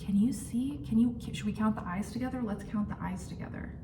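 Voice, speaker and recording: A woman's voice: medium pitch, fairly quick. A woman reading a picture book aloud to young children in simple phrasing, with exaggerated suspense and questions to her listeners. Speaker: children's storytime reader. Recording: roomy, distant mic, clearly noisy background.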